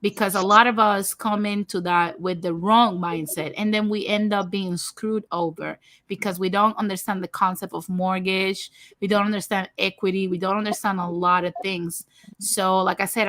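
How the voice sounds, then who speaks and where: Woman from Puerto Rico, livestream host, frank and chatty, bright voice, dry close sound. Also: medium pitch, no room sound. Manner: talkative, frank, addresses viewers directly. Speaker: host of a livestream talk show. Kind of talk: casual livestream chat.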